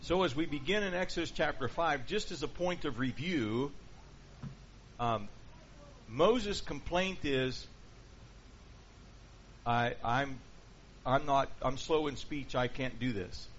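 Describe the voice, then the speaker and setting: A man's voice: medium pitch, slow pace, long pauses, a bit bright. A man leading a Bible study class, asking the group questions and going over their answers. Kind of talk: interactive Bible class teaching. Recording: dull lo-fi with faint hiss.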